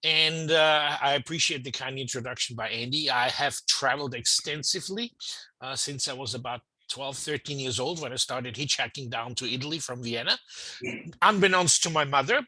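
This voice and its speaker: A middle-aged man from Austria speaks with an Austrian accent in a relaxed, friendly way. His voice is bright and slightly forceful, and the sound is dry and close. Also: mid-range voice, normal speed, no room sound, poor audio. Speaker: cruise line vice president. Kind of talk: webinar introduction.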